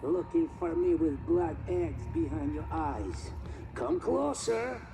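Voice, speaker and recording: A man's voice: on the high side, sing-song, slow pace, heavily acted. A man acting a deranged, paranoid video game character who taunts and mocks in broken, menacing bursts. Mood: menacing, taunting.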